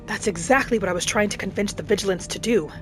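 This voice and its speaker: A woman's voice: mid-range voice, fast talker. A woman doing in-character roleplay commentary for a game, speaking in an earnest, dramatic way. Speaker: gameplay roleplayer. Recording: game music behind the voice. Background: game music.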